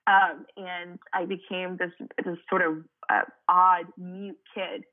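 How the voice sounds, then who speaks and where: Brief: young Cameroonian-born woman, French native, chatty guest full of fillers, lowish voice, thin phone audio. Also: flat delivery, slow pace. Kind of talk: casual interview conversation. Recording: tinny phone-like line.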